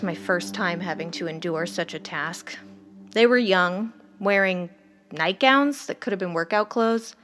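An actress playing a driven, guilt-haunted ER doctor in an audio drama, speaking in a deliberate, confessional way. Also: female voice, mid-range voice, a bit bright. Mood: grim, wry. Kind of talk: audio drama acting, first-person narration and dialogue.

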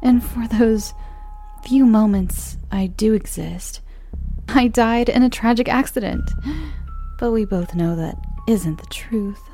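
A woman voicing a vengeful murdered ghost who taunts their killer in a soft, whispery, mocking delivery. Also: on the high side, slow, soft background music. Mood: taunting. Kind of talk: horror monologue reading.

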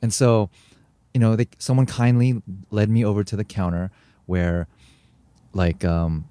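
A man's voice: on the low side, measured pace, clear, resonant tone, dry recording. A young adult man from America, chatting casually as a podcast co-host and telling stories with acted-out sounds and quotes. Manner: animated storyteller, acts out sounds and quotes. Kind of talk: casual chat.

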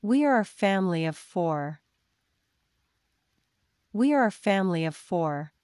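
A woman reading simple example sentences for learners, slowly and deliberately, saying each one twice. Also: medium pitch, expressive, a little mellow, clean tone. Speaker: English teacher.

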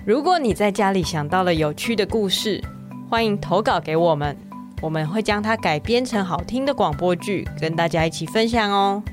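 A woman with a Taiwanese Mandarin accent speaks at an average female pitch as she co-hosts for children. Her wording is simple and friendly, made for little listeners. Soft music sits faintly behind her. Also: expressive, moderate pace. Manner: child-directed, simple phrasing with soft particles. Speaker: children's story podcast co-host. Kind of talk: friendly hosting and chatting with a child.

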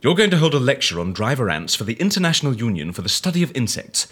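A theatrical fixer in an audio drama, voice swoops all over, bit husky. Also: male voice, mid-range voice, big pitch swings, quick pace, a bit bright. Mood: gleeful scheming. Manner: flamboyant, pressing, exclamatory. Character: brisk, theatrical male fixer who stages fake deaths. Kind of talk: comedic audio drama acting.

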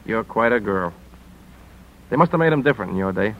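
An actor playing a hard-driving, wry American newspaper editor in brisk, clipped 1950s radio drama style. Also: male voice, fairly low pitch, expressive, phone-quality sound. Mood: wry, weary. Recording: vintage 1950s radio broadcast.

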